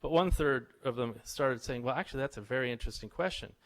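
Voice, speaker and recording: A man's voice: mid-range voice, fast talker. A middle-aged man, a visiting professor, tells stories and quizzes a live audience in an informal lecture. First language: English. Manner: chatty, anecdotal, asks the audience questions. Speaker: visiting professor giving a talk.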